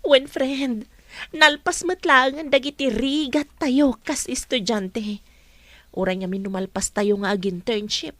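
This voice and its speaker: Woman acting high-voiced earnest college student. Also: measured pace, dry recording. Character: earnest young female college student, a close friend. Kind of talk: Ilocano radio drama acting.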